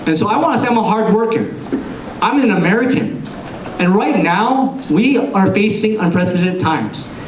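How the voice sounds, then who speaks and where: A young man speaks to a crowded room in a somewhat higher voice, earnest and measured, breaking his lines into short phrases. The sound bounces around the room. The mic sits some way off, with faint ambient noise behind him. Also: expressive. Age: young adult. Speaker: political candidate. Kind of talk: campaign speech. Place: large room with an audience. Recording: echoey room, captured from a distance.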